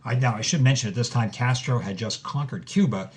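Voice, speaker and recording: A man's voice: medium pitch, brisk, natural voice. An actor playing an earnest, theatrical male host who presents and interviews in a scripted audio drama podcast. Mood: earnest, explanatory.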